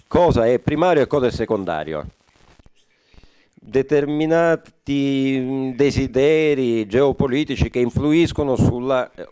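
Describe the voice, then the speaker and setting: A man interpreting a forum speech live, halting often with fillers and correcting himself mid-phrase. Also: medium pitch, mellow, clear, resonant tone. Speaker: conference interpreter.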